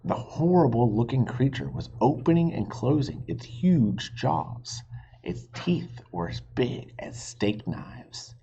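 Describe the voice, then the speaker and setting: A man's voice: mid-range voice, very animated, takes it slowly, vocal fry, dark tone, breathy edge. A man reading a children's storybook aloud expressively, like a teacher, on a slightly muffled recording. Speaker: reader, teacher.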